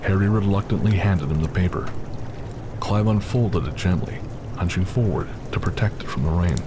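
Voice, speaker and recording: Deep-voiced man narrating an audio drama. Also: normal speed, background noise. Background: music.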